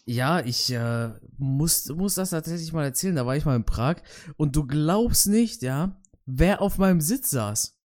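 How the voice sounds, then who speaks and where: A man's voice: a little high, expressive, unhurried, a little mellow. A man co-hosting a casual podcast about martial arts, talking in loose chat with lots of fillers and restarts. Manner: hesitant, many fillers and restarts. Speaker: podcast co-host.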